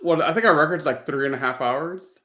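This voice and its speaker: A man's voice: a little high, a little fast, bright, forceful tone. A man chatting casually as a co-host on a podcast.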